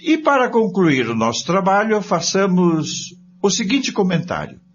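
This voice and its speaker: A Brazilian man hosts with scripted commentary addressed to listeners. His delivery is very unhurried with wide swings of intonation, and the sound is dull and lo-fi. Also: high voice, big pitch swings. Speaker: host of a spiritist study programme. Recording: dull lo-fi sound with some noise.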